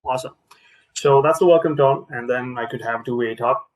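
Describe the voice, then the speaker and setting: A man's voice: mid-range voice, a little fast, poor audio. A man presenting an informal product demonstration as a trainer, speaking hesitantly and often repeating himself.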